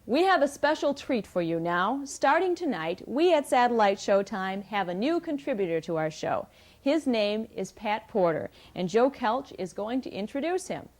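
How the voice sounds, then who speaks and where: A man voicing a cheerful woman show announcer in a falsetto, heard from a distance off the mic. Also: big pitch swings, normal speed. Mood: chipper.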